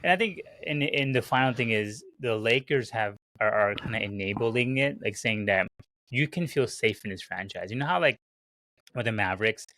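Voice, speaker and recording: A man co-hosting a casual sports podcast, talking in an animated way as he riffs on teams and players. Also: lowish voice, moderate pace, dry recording. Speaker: podcast co-host.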